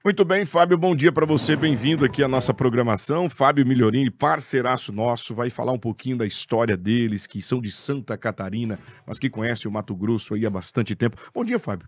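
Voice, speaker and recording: A man's voice: medium pitch, quick pace, hardly any pauses. A Brazilian man hosting a live radio interview. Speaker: radio host. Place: radio studio.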